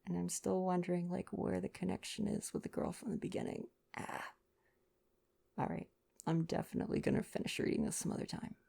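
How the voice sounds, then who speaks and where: A man reading a novel aloud in a gentle, intimate, expressive ASMR bedtime style. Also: sits high, brisk, vocal fry, husky.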